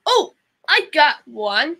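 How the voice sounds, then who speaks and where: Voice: high-pitched, big pitch swings, slow pace, gravelly, pushed and bright. A speaker chatting casually on a video call while playing a guessing game. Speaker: guest in an online puppet show.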